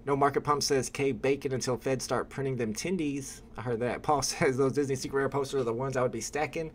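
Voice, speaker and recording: A man's voice: mid-range voice, steady tone, brisk, a little hiss or hum. A young man hosting a casual livestream from a room at home, chatting with a guest and reading viewer comments aloud. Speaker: livestream host.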